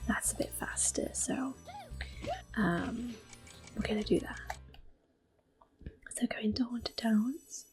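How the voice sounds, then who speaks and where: A woman speaking in a soft, breathy near-whisper, giving gentle, unhurried ASMR commentary on a video game. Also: on the high side, over music. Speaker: gameplay video host.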